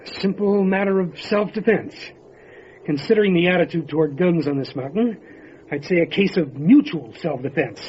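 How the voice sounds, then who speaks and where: Actor as a high-pitched, commanding sheriff. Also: male voice, lively intonation, moderate pace, darkish tone, natural voice. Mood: grim, reasoning. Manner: urgent, terse. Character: tough, commanding male sheriff facing horror. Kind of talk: radio horror drama acting. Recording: muffled radio drama with faint noise.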